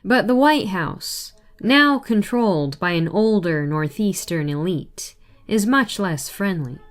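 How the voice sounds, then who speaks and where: A woman reading a written political article aloud in a measured, deliberate way. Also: medium pitch, expressive. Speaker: reader.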